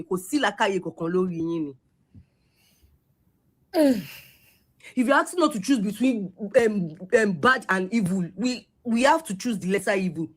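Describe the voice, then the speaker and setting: A Yoruba-speaking woman with a Yoruba accent, scolding at length in an angry online rant and switching between Yoruba and English. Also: on the high side. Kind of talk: angry social media rant.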